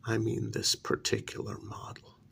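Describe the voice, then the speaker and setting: A low, gravelly male vampire lord acted by a man in an ASMR role-play. He speaks in a breathy half-whisper with heavy creak, aristocratic and mock-polite. The threat is cold and deliberate, with faint hiss behind the voice. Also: monotone, fairly bright tone. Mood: smug, measured. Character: menacing aristocratic male vampire master, coldly vengeful.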